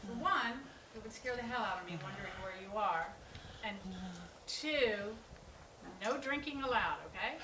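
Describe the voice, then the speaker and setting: A woman's voice: on the high side, moderate pace, natural voice. A woman in a staged play reading, playing a caring but exasperated adult sister with a conversational, coaxing manner. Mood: gently firm. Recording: roomy, distant mic with clear noise.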